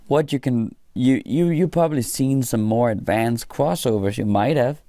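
A man giving an informal hands-on technical explanation in a halting way, often pausing mid-sentence. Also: mid-range voice, warm tone, no room sound. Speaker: presenter of a hobby electronics tutorial.

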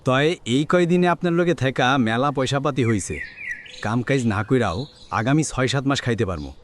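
A man's voice: mid-range voice, moderate pace, slight background noise, natural voice. A man with a rural Bangladeshi accent voicing a village politician's obliging hanger-on in a cartoon, deferential and colloquial. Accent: rural Bangladeshi Bengali. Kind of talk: cartoon voice acting.

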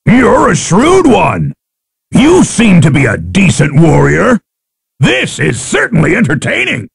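Fighting game owl warrior: proud, battle-hungry, extremely gravelly, pitched high for a man. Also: huge pitch swings, exaggerated voice. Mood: measured approval. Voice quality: gravelly, husky. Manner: haughty, deliberate, archaic challenges.

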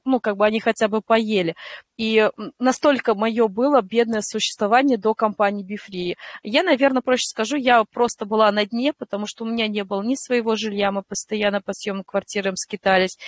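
A hoarse woman in her thirties, earnestly telling her own story in an online voice chat, with many fillers and restarts. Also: on the high side, dry recording. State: hoarse today. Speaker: network-marketing member giving a testimonial. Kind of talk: emotional personal testimony in an online voice chat.

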